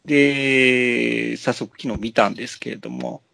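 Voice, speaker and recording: A man's voice: mid-range voice, unhurried, vocal fry, bright, forceful tone, a little hiss or hum. A man, co-hosting a podcast with jokey, casual film chat. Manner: jokey, often laughing. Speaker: podcast co-host.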